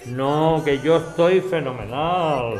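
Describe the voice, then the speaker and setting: A man playing a genial, obliging older gentleman in an audio drama. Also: on the high side, slow pace, soft background music. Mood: jovial, obliging.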